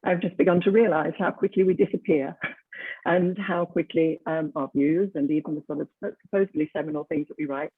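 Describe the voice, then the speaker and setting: A woman's voice: deep voice, fairly even intonation, a little fast, breathy edge, dry recording. Woman in her seventies, tinny call audio. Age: 70s. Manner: candid, reflective, laughs easily. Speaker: guest speaker. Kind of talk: talk on a video call.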